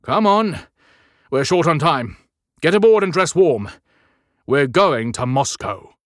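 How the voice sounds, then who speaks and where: Audiobook Santa: male actor as older, gruff, commanding, resolute hero, very dry sound. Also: on the high side, lively intonation, takes it slowly, dry recording, pitched above the performer's own voice. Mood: urgent, commanding.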